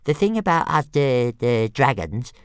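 A man's voice: very animated, unhurried, smooth, dry recording, clean studio sound. A man voicing a tiny, boastful baby dragon who stutters on his first sounds and whines. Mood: cheerful. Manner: whiny, squeaky and cocky.

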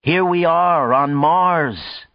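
An exhilarated male space colonist acted by a man reading an audiobook delivers impassioned oratory. The voice is lifted in pitch and declamatory. The sound is roomy and a touch muffled. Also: extremely slow. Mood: rousing, earnest. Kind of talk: audiobook character dialogue.

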